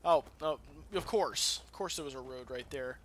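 A man's voice: medium pitch, normal speed, a little hiss or hum. A man giving casual, rambling, profane commentary as he hosts a gameplay video. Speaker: gamer hosting a let's play. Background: video game ambience.

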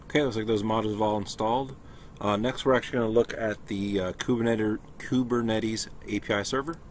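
Low-voiced man over background noise. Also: fairly quick. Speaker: software tutorial presenter. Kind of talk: casual screen-share walkthrough. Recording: clear steady background noise.

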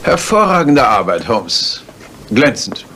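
Ein Sprecher, der in einem Hörspiel einen förmlichen, feierlichen hohen Regierungsbeamten spielt. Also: male voice, medium pitch, very animated, slow, pushed and bright, a little hiss or hum, heavily acted. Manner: formal and ceremonious. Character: formal senior male government official. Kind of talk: German radio drama.

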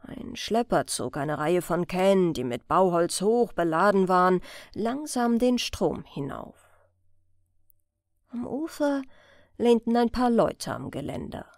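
Slow, expressive woman narrating an audiobook. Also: medium pitch, no echo, studio quality. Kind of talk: scripted story narration.

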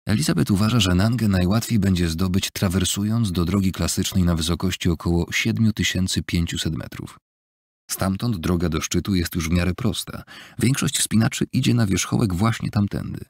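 A man reading an audiobook in a measured, even storytelling manner, recorded on a close studio mic. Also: dry recording. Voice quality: low gravelly husky voice. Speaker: audiobook narrator. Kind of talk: scripted audiobook narration. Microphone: close studio mic, dry.

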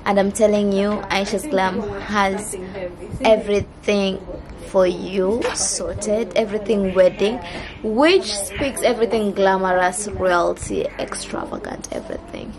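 Hoarse young Kenyan woman vlogging. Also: medium pitch, expressive, unhurried, crowd noise, low-quality recording. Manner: chatty, mixes English and Swahili. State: hoarse, losing her voice. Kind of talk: casual vlog talk to camera. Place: indoors. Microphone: camera mic held close.

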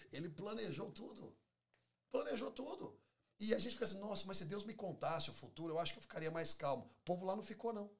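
Emphatic Brazilian pastor, slightly husky. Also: male voice, sits high, expressive, brisk. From Minas Gerais, Brazil. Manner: emphatic, repeats phrases for effect, acts out dialogue. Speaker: pastor, podcast guest. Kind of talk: preaching-like conversational talk. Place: small podcast studio. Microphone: close studio microphone on table stand.

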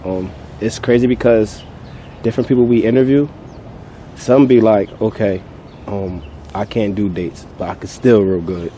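A man interviews someone casually outdoors. His voice is fairly deep and relaxed, with informal phrasing. A little street and vehicle noise is audible behind him. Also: moderate pace. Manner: informal, echoes answers, frequent "yeah". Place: outdoors on a city street, off camera.

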